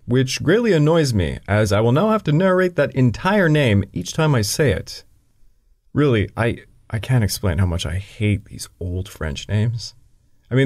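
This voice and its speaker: Brief: male history podcast narrator, animated delivery, dry humor in asides. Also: medium pitch. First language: English. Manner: dry asides breaking into the reading.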